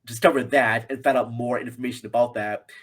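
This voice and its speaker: A man speaking as a guest reviewer in a casual film discussion, halting and repeating himself for emphasis. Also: medium pitch, fairly even intonation.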